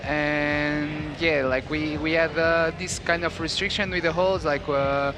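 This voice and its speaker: A man being casually interviewed as a route setter, speaking halting non-native English and searching for words. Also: on the high side, steady tone, noisy background. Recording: music playing behind the voice.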